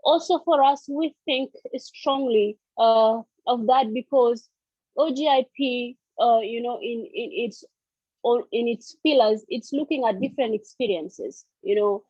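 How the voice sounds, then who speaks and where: Husky woman on a lo-fi call. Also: on the high side, warm tone, no echo. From South Sudan. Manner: halting, with frequent fillers and restarts. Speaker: civil society panelist. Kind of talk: online meeting presentation. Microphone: video call. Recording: dull lo-fi call audio.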